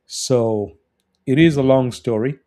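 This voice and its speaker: A man from the Republic of Congo, introducing himself as a podcast guest in a casual, measured, slightly hesitant way. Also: medium pitch, warm tone. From Republic of Congo, later South Africa. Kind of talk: casual conversational self-introduction.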